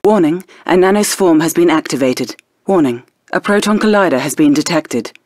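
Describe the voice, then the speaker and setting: An actress playing a composed female military intelligence officer, giving crisp, formal status announcements in a strategy video game. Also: low-pitched, expressive, normal speed, a little mellow, dry recording, clean studio sound. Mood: composed, official.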